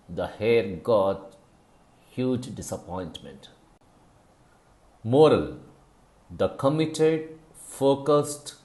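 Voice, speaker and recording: Slow, low-voiced man narrating a moral story. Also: darkish tone.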